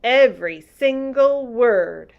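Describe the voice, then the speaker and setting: A woman's voice: on the high side, lively intonation, slow, creaky, muffled recording, voice pushed up, heavily acted. A woman voicing a big friendly old male giant who talks earnestly in muddled grammar. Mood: marvelling.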